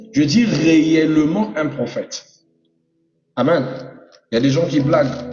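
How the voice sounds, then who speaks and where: A man preaching a sermon as an apostle, telling a personal testimony and rising to high emphatic peaks as he addresses the congregation. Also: darkish tone. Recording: roomy hall reverb, distant mic, dull sound, music behind.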